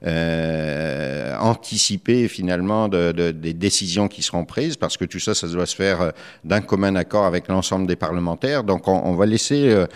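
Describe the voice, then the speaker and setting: A middle-aged man with a low voice and an accent from France. He answers like a politician in an interview, choosing his phrases carefully and pausing often as he searches for the next one. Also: clean tone. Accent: French from France. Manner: measured, hesitant, careful phrasing. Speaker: politician, member of the Quebec legislature.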